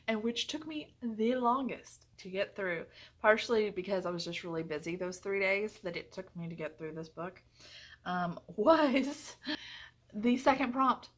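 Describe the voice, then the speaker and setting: A woman talks through book reviews in a casual vlog style, with a mid-pitched voice. She is effusive and emphatic, circling back to repeat phrases when she wants to stress a point. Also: fairly bright tone.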